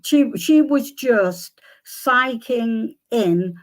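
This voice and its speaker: An older woman, a psychic guest, answering questions with many pauses and restarts, her voice a little croaky. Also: on the high side, animated. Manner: hesitant, many ums and restarts. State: slightly croaky voice. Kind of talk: conversational interview answers.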